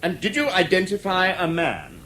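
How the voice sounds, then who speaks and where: An actor with an English accent playing a formal, probing prosecuting barrister in a 1970s British radio drama, deliberate and insistent with weighty pauses. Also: male voice, high voice, huge pitch swings, sharp tone, exaggerated voice. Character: probing, theatrical male prosecuting barrister in court. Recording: faintly noisy old radio broadcast.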